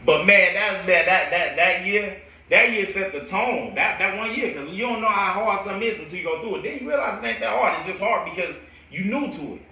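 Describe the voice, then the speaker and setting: An American man who coaches football, telling stories casually and in an animated, slangy, rambling way on a live stream. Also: high voice, fairly quick. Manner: animated, slangy, laughs often. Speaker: football coach hosting a storytelling show. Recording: roomy echo, distant from the mic.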